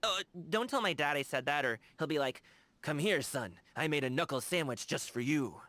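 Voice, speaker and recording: A man's voice: on the high side, lively intonation, normal speed, bright, forceful tone, dry recording. An actor playing a cheerful, earnest young man in a video game, chatting in a casual, slangy way. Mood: chatty, amused. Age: young adult. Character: cheerful, earnest young male lion-dancer and tea lover. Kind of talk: animated video game character dialogue.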